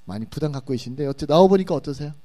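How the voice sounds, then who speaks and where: Animated man co-hosting a live talk show. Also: a little high, very fast. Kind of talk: live stage talk show introduction and banter.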